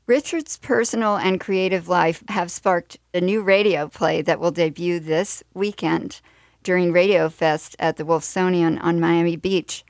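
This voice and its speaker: Lowish-voiced woman hosting radio. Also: dry recording, studio quality. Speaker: radio show host.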